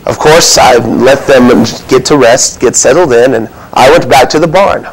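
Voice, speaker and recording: A man's voice: medium pitch, moderate pace, close to an everyday voice, poor audio. Man playing a flustered, defensive innkeeper. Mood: self-satisfied. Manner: excuse-making, rambling, indignant storytelling. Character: flustered, defensive comic innkeeper of biblical Bethlehem. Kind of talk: comic stage monologue.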